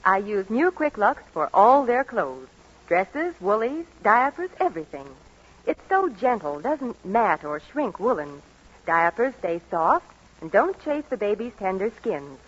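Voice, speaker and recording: Lively, husky woman in radio ad. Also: mid-range voice, unhurried. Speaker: radio commercial presenter. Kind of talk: 1940s scripted radio commercial. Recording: muffled 1940s radio broadcast.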